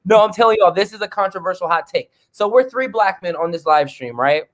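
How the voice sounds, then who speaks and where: Male guest artist, fairly high voice, blunt and profane, animated. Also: fairly quick. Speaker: guest musician. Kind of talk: casual podcast chat.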